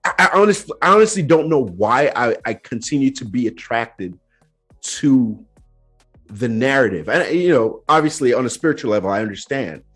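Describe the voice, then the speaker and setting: Nigerian guy hosting a podcast, husky voice, acts out the quotes, faint music. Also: mid-range voice, moderate pace. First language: Yoruba. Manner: animated storyteller, acts out quotes. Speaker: podcast host. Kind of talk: casual storytelling chat.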